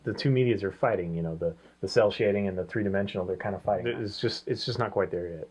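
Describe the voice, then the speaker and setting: A man's voice: on the low side, fast talker, a little mellow, husky. Casual man co-hosting a review show.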